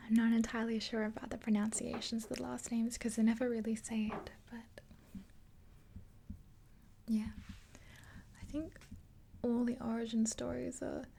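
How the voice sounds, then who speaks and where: A woman in her twenties whispering gently and breathily in an ASMR roleplay, close to the mic. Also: a little high, creaky, slight background noise. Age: 20s. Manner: gentle, unhurried. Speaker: ASMR creator playing a makeup artist. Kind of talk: ASMR roleplay, soft rambling chat. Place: small indoor room. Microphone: close mic, face near camera.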